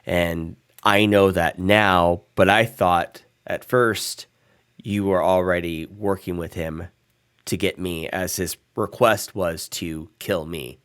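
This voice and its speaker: A man playing a guarded vampire in a tabletop game, talking hesitantly with many pauses and restarts. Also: sits low, steady tone, a bit bright, smooth. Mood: apologetic. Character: male vampire, guarded and rambling.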